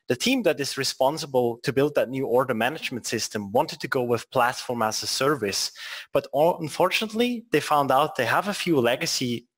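A man's voice: mid-range voice, fairly bright tone. A man from Switzerland with a Swiss accent, presenting a technical webinar with joking asides and frequent restarts.

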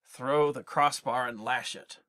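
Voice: mid-range voice, fairly even intonation, unhurried, pushed and bright. A teacher reading aloud as a disguised old warrior king, firm and giving orders. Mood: commanding.

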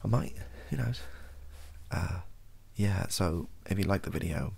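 British male, 30s, extremely low and croaky, soft ASMR delivery, loose self-deprecating ramble. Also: unhurried. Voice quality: soft, gravelly, husky. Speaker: video host, ASMR creator. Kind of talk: improvised softly spoken ASMR ramble. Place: small bedroom office, indoors.

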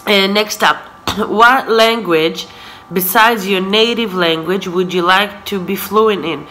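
A woman's voice: mid-range voice, slow, pushed and bright, a little hiss or hum, low-quality recording. A woman in her twenties whose first language is Hebrew, chatting casually through a question-and-answer vlog, often laughing and saying "so yeah.". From Israel. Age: 20s. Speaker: vlogger. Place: living room, sofa, indoors. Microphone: camera in front at close range.

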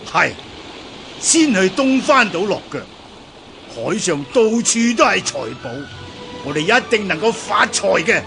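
A man's voice: high-pitched, sing-song, a little slow, creaky, over music, heavily acted. A man acting a bold, hearty smuggler chief in a radio drama, with a Hong Kong Cantonese accent.

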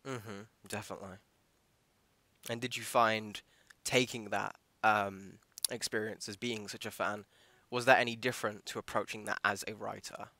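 A man's voice: lowish voice, fairly even intonation, normal speed, bright, forceful tone, no room sound. A man hosting a podcast, interviewing in a casual, chatty style.

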